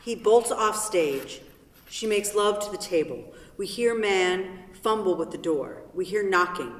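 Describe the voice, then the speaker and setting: A narrator reads scripted stage directions at a middling pitch. The voice is distant, with strong echo from a theatre hall. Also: animated, unhurried.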